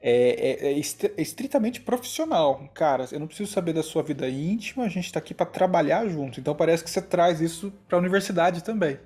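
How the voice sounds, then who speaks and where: Man, Brazilian Portuguese accent, mellow voice, casual co-host, eager agreement. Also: on the high side, lively intonation, brisk, few pauses. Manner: enthusiastic, agreeing interjections. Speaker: podcast co-host. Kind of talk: casual chat.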